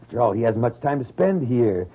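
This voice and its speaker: An actor playing an earnest, romantic gentleman planter, theatrical and impassioned, in an old radio drama. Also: mid-range voice, very animated, a little fast, husky. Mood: eager, insistent. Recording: tinny, noisy old radio broadcast.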